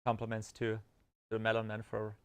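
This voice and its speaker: Man, livestream co-host; smooth clear voice, halting and filler-heavy. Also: lowish voice, monotone. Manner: rambling technical talk, many fillers and restarts. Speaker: developer and podcast co-host. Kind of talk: casual livestream chat.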